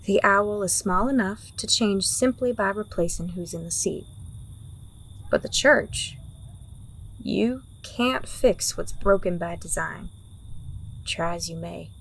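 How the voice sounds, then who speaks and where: A woman's voice: medium pitch, slow, creaky, pauses often, a bit bright, a little hiss or hum, rough recording. Woman acting a blunt, world-weary fantasy mercenary woman. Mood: firm, persuasive. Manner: blunt, wry, teasing. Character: world-weary, teasing, pragmatic woman working for a crime boss. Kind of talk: fantasy audio drama acting.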